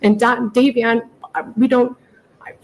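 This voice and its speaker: Pleading middle-aged woman, high husky creaky voice. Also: little pitch movement, a little slow, low-quality recording. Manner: pleading, repetitive, emphatic. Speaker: mother pleading in court for her daughter. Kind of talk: emotional unscripted plea to a judge.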